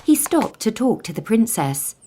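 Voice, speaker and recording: A woman storyteller narrating a scripted fairytale for young children with deliberate, dramatic phrasing. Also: fairly high pitch, big pitch swings, mellow, a little hiss or hum. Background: music.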